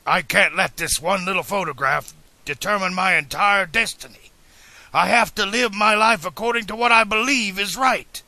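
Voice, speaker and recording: A man's voice: mid-range voice, expressive, a little slow, gravelly, sharp tone, no room sound, exaggerated voice. A man voicing an eccentric, stubborn, passionate older inventor in an audiobook, speaking in a formal, declamatory way. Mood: adamant, impassioned. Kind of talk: audiobook character dialogue.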